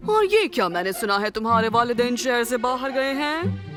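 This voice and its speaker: High-voiced, stern cartoon school principal by a woman. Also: expressive, moderate pace, lightly acted. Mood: stern, suspicious. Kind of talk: cartoon dub acting. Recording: music behind the voice.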